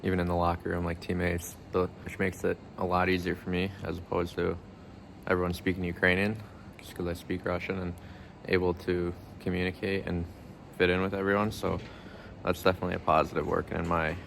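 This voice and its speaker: A man talking casually to camera for a vlog in a low-key, plain-spoken way. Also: completely flat delivery, brisk, creaky, fairly bright tone, a little hiss or hum. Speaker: vlogger, pro hockey goaltender.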